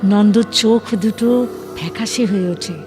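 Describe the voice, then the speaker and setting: A woman's voice: medium pitch, steady tone, moderate pace. A woman reading scripted story narration for a dramatized audio book. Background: background music.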